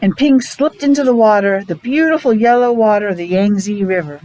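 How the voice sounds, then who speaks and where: A woman reading a picture book aloud to young children, expressive, adding explanations and questions along the way. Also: mid-range voice, moderate pace, a little hiss or hum, low-quality recording. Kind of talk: animated read-aloud storytelling with asides to young children.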